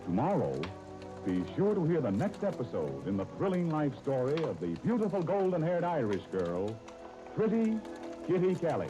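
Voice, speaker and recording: A man's voice: a little high, huge pitch swings, normal speed. A man dramatically narrating as a 1930s radio serial announcer, over music on a muffled vintage recording. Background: clear music.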